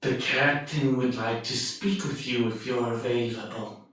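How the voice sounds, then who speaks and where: Distant and echoey, a polite but evasive ship's crewman, played by a man speaks slowly and formally in a radio play. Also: mid-range voice, fairly even intonation, fairly bright tone, natural voice. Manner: formal, apologetic, measured. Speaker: actor. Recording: distant, echoey, roomy sound.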